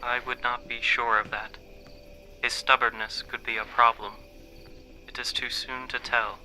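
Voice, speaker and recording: Formal conspirator, noisy background. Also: male voice, mid-range voice, flat delivery, bright, forceful tone. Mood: hushed, scheming. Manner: formal, persuasive, measured. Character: smooth-talking conspirator luring recruits for aliens. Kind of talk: radio drama acting.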